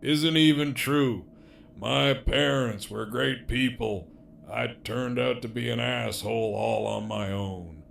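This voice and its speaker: Gravelly sardonic male prisoner played by an actor. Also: mid-range voice, steady tone, slow pace, a bit bright, slight background noise, exaggerated voice. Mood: wry, resigned. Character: jailed, sardonic, foul-mouthed adult male former villain. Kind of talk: audio drama acting.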